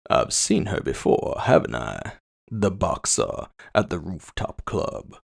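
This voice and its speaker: A man voicing a gloating masked villain in audio fiction, with a hollow murmur and slow, mockingly courteous boasting. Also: low-pitched, sing-song, gravelly, heavily acted. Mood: menacing amusement. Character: gloating, theatrical masked male villain with mind-control powers.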